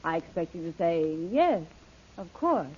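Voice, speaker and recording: A husky scheming femme fatale from an old radio serial, coquettish and theatrical, dull lo-fi sound. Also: female voice, on the low side, sing-song, a little slow, heavily acted. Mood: seductive, coaxing. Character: audacious scheming villainess, coolly seductive adventuress.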